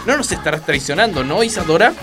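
An actor playing a pompous, silly detective boss in a parody radio play, with theatrical mock solemnity. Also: male voice, fairly high pitch, very animated, quick pace. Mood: dramatic. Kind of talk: parody radio play acting in neutral dubbing Spanish. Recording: music behind the voice.

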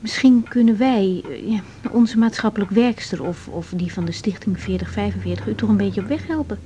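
A woman's voice: medium pitch, a little fast, dark tone, lightly acted, poor audio. An actress playing a young woman doctor in a radio drama, direct and caring with a firm temper. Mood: gentle, encouraging. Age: young adult. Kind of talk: naturalistic Dutch radio drama acting. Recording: faint background noise.

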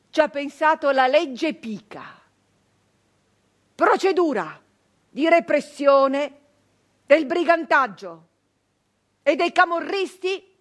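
A high-pitched, fierce woman brigand of the 1800s. She tells her story slowly and bitterly, weighing every word with theatrical intensity. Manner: intense, deliberate, with long pauses, swinging from hushed to outcry. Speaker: stage actress. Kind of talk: dramatic theatrical monologue.

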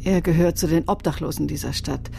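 A low, creaky-voiced solemn psychic woman. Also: steady tone, brisk, dark tone, a little hiss or hum. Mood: solemn, mysterious. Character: solemn, soulful French female psychic medium in crime drama. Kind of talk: German radio crime drama acting.

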